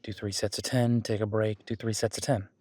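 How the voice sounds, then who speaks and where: A man's voice: on the low side, flat delivery, brisk, darkish tone, no room sound, close to an everyday voice. A man playing a friendly, patient werewolf boyfriend who coaches a workout in a casual, instructive audio roleplay. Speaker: gym training coach in roleplay.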